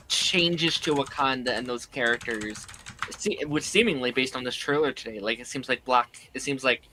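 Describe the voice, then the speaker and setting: A man who is a little hoarse from being under the weather, chatting casually on a podcast and filling his talk with "like". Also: mid-range voice, pushed and bright, slight background noise. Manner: rambling, many "like" fillers.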